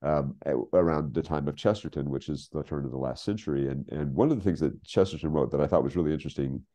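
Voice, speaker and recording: Very deep, mellow older man. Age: 60s. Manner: halting, repeats phrases for emphasis. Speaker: guest, writer. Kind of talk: reflective interview conversation. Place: home room with bookshelves, on a video call. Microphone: video call.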